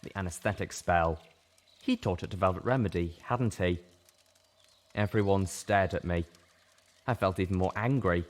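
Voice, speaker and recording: A man's voice: sits low, moderate pace, long pauses. A man reading an audiobook as a dramatic, intense first-person narrator. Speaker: audiobook reader.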